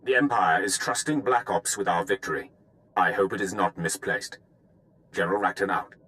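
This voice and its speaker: An actor voicing a dutiful military general in a video game, giving a briefing in a formal, measured, deferential way. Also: male voice, sits low, pushed and bright. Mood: grave, respectful.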